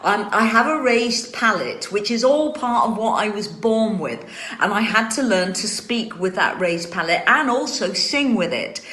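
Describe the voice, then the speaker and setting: A woman's voice: medium pitch, expressive, unhurried, pushed and bright, echoey room. A woman hosts a casual chat from home, answering viewer questions. She speaks with carefully trained, precise articulation. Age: 60s. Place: home room.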